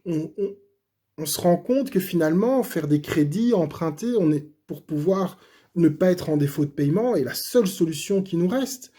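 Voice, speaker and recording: Hoarse, mellow-voiced man giving testimony. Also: on the high side. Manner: hesitant, many fillers and restarts. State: slightly hoarse. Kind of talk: personal testimony, spoken casually.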